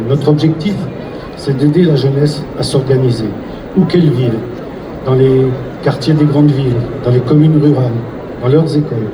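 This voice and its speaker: A man moderating a public event and speaking sincerely and deliberately to the audience. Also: a little high. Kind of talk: public address. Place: event hall. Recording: echoey room, distant mic, loud noise.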